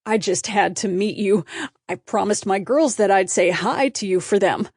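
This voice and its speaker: A woman's voice: medium pitch, normal speed, darkish tone, dry recording, close to an everyday voice. Goofy, gushing fan dad for storybook, folksy and stammering played by a woman, dry recording. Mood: nervous, apologetic.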